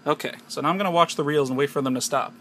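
Bright-voiced American man giving a tutorial. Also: fairly high pitch, racing. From United States. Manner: explanatory, step-by-step instruction. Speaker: tech tutorial presenter. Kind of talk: unscripted hands-on tutorial narration. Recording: noisy amateur handheld camera audio.

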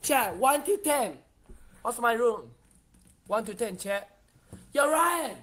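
Young man, casual livestream, walking around with a phone, halting repetitive phrasing, phone camera sound. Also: extremely high pitch, lively intonation, fairly bright tone. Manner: halting, broken phrasing, repeats words. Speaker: streamer. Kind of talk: casual livestream house tour. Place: house rooms, walking with phone.

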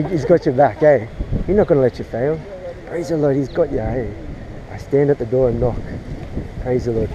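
A man preaching scripture outdoors to a passer-by, earnest and quick to pray in tongues, recorded on a chest-worn camera. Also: medium pitch, dull audio. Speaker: street preacher. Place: outdoors on a street beside a shopping centre car park. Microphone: chest-worn action camera.

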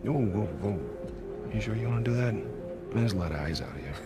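An actor as a quick, cheeky American pickpocket. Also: male voice, fairly low pitch, lively intonation, lightly acted. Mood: cheeky, wary. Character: cheeky, streetwise male pickpocket, middle-aged. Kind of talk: naturalistic video game cutscene acting. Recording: loud background music.

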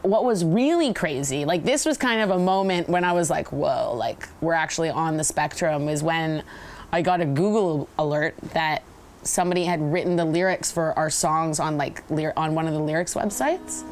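A young woman, a singer with a raspy voice, talking casually in a band rehearsal room for a documentary. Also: sits low, moderate pace, soft background music. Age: young adult.

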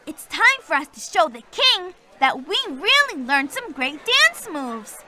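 A woman's voice: extremely high pitch, sing-song, measured pace, heavy background noise, heavily acted. A woman voicing a cheerful young explorer girl who talks directly to the player in a children's game, with game music behind. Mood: encouraging. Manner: bright, encouraging, speaks to the player. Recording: game audio over clear music.

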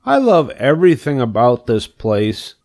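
A man's voice: mid-range voice, huge pitch swings, unhurried, a little mellow, pitched above the performer's own voice. A man doing a nervous, eager young pig. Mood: anxious pleading. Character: earnest anxious young male pig. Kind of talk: storybook reading.

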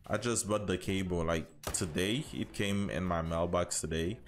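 Low-voiced Haitian man streaming a game. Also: moderate pace. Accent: Haitian with Caribbean and American influences. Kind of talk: casual livestream chat with viewers over gameplay.

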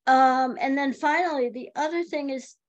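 A woman in her fifties, a communication coach, giving chatty, animated speaking advice with a high voice over a video call from home. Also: normal speed, highs cut off. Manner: chatty and animated, laughs often. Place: home room, indoors. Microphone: video call webcam.

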